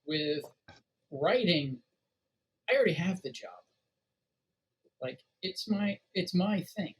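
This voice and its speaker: A man speaking casually as a podcast guest, in halting, fragmented phrases. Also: sits high, expressive, husky, rough recording. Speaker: podcast guest, writer and actor. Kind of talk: casual conversational interview.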